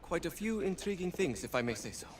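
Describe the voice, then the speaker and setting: An actor playing a polite, inquisitive inventor with a deferential manner in a dramatic game cutscene. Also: male voice, high voice, big pitch swings, quick pace, slight background noise. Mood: intrigued. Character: polite, inquisitive inventor eager to show his work.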